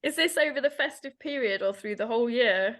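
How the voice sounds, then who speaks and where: A woman guest chatting casually on a podcast, bubbly and quick to laugh at herself. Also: fairly high pitch, a bit bright. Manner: bubbly, giggly, self-deprecating. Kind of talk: casual chat.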